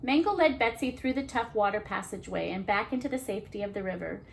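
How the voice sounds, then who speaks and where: Female children's storytime reader: medium pitch, expressive narration with casual asides, slight ambient noise. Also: fast talker, gravelly.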